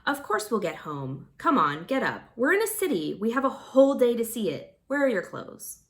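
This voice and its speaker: A woman's voice: on the high side, sing-song, normal speed, a bit bright, pitched above the performer's own voice. A woman voicing a young village man in a fantasy story who coaxes his friend with forced cheer. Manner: coaxing, forcedly upbeat.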